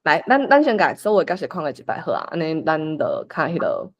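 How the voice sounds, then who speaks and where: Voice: medium pitch, wildly swooping pitch, fast talker, muffled recording. A person with a Taiwanese accent explaining and organising a group script reading, thinking aloud in an informal way as the facilitator. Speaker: workshop facilitator.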